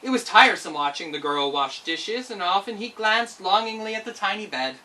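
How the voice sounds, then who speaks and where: Animated woman reading a children's story. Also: medium pitch, moderate pace, recorded from a distance, poor audio. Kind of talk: expressive children's storybook narration.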